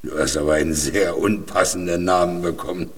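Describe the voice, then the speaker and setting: A weary old drifter for a children's radio play. His voice is low and rough, set a little back from the microphone. He talks plainly and with resignation, like one who has accepted his lot. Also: steady tone, recorded from a distance. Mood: wry, wistful. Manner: resigned, slow to be impressed, plain-spoken. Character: resigned, weary old male vagabond in a jail cell.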